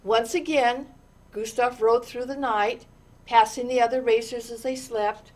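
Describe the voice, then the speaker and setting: A woman with a fairly high voice narrates a storybook for children. Her delivery is very slow and deliberate, shaped by dramatic pauses and expressive stresses on the words that matter. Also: poor audio. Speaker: storytime reader.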